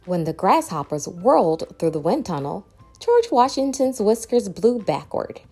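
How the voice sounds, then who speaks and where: A woman narrates a children's picture book. Her delivery is very animated, with pitch that rises and falls for dramatic emphasis. Music accompanies the reading. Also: unhurried, breathy edge. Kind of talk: expressive storybook read-aloud.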